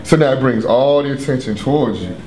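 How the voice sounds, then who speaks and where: A man's voice: medium pitch, animated, fairly quick, darkish tone. Chatty comedian man in a roomy space. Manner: laughing, rambling, explanatory. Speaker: stand-up comedian. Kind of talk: casual group chat. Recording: roomy echo.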